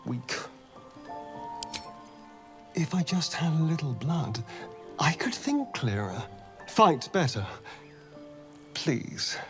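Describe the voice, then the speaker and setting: An actor playing a suave, needy male vampire in a video game, with a theatrical, coaxing, hesitant delivery. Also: medium pitch. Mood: pleading, wary. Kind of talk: video game character acting.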